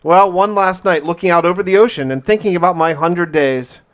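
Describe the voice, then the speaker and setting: Higher-voiced man narrating gameplay theatrically. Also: a little fast, clear, resonant tone. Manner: theatrical, in-character roleplay delivery with repeated phrases. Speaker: gaming video host. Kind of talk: dramatic first-person gameplay storytelling.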